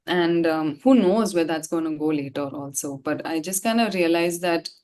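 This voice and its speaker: Young female interview guest, slightly low register, informal and reflective delivery. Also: normal speed, a little mellow. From India. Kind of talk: casual, reflective interview chat.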